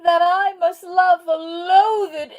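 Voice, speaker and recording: A woman's voice: very high-pitched, steady tone, slow pace, a little mellow, muffled recording. A woman playing a lovestruck, anguished young heroine in a theatrical table read over a video call. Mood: tearful despair.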